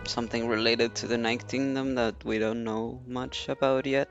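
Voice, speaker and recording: A man's voice: medium pitch, flat delivery, normal speed, highs cut off. A man giving casual, musing commentary as a game streamer, hesitant as he thinks aloud. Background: soft game music.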